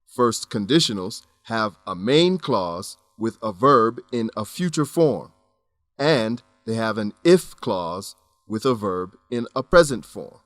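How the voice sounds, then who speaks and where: A man reading scripted educational narration for an English lesson, enunciating clearly in an instructional manner. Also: on the low side, sing-song, slow pace, no echo. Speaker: narrator of an English-teaching radio program.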